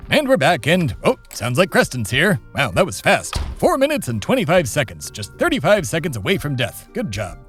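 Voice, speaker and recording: A man's voice: a little high, huge pitch swings, moderate pace, hardly any pauses, over music. A man playing a pompous, irritable centuries-old stoner host in a comedy audio drama, with thick-tongued, formal, foul-mouthed speech. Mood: showman-like.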